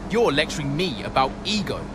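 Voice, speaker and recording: Indignant young male driver, high and animated, sarcastic, video game cutscene with car noise. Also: brisk. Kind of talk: cinematic video game dialogue. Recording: clear car and air-conditioning noise.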